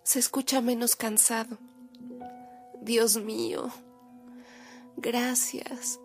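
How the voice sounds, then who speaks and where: An actress playing a devout, caring woman in a radio drama, speaking softly as if aside. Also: on the high side, level tone, extremely slow, soft background music. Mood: relieved, prayerful. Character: devout, caring woman worried for a sick bishop.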